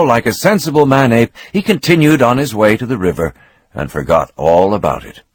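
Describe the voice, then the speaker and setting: A man giving a scripted, dramatic reading of a novel as narrator, expressive with swelling emphasis and a husky voice. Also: medium pitch, dry recording.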